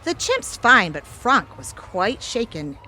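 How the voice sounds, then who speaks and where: A woman's voice: fairly high pitch, very animated, slow pace, bright, forceful tone, slight background noise, exaggerated voice. A woman playing a chatty, flirtatious actress in an audio theatre comedy. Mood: gossipy.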